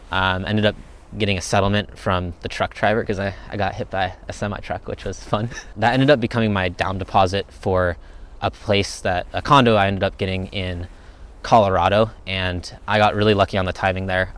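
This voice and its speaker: Laid-back low-voiced young man. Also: fairly bright tone. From United States. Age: young adult. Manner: laid-back, rambling, thinks aloud. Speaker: vlogger answering viewer questions. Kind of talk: casual Q&A chat.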